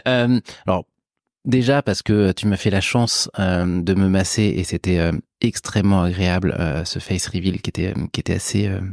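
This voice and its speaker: Lowish-voiced man, chatting casually. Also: fairly even intonation, normal speed, vocal fry, darkish tone, dry recording, clean studio sound. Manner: digressive, informal, emphatic repetition. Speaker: podcast guest. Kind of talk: casual reflective chat.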